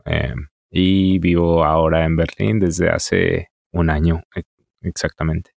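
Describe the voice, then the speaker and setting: A man in his thirties with a Mexican accent, answering interview questions in a measured, calm way. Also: low voice, creaky, dry recording, studio quality. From Mexico City, Mexico. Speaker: interview guest.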